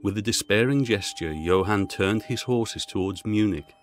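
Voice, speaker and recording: A man's voice: fairly low pitch, normal speed. A man reading a gothic horror story aloud as a dramatic audiobook narrator.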